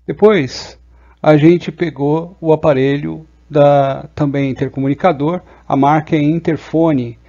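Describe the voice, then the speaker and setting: Mellow Brazilian man explaining a product. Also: a little high, steady tone, a little slow, dull audio. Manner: explanatory, checks in with frequent tag questions. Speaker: presenter reviewing products.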